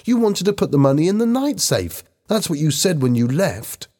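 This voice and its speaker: A man's voice: mid-range voice, wildly swooping pitch, a little fast, dark tone, pitched above the performer's own voice. A man voicing an exasperated, sarcastic teenage girl in a story, challenging and exclaiming.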